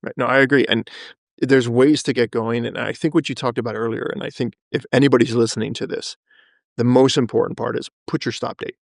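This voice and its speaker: Man, podcast host, rapid delivery, creaky voice, blunt, emphatic, conversational. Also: medium pitch, fairly even intonation, no room sound, studio quality. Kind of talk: casual interview chat.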